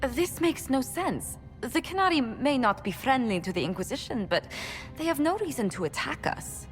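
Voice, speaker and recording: An actress playing a poised but anxious court diplomat in a fantasy game, with formal, precise diction. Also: female voice, high voice, big pitch swings, normal speed, faint music behind. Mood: baffled. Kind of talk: video game dialogue acting.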